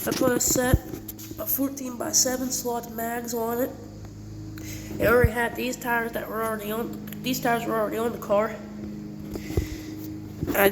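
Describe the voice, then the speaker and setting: Congested guy giving a car walkaround. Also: sits high. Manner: rambling, self-correcting. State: congested with a cold. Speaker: channel host. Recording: long reverb, clear background noise.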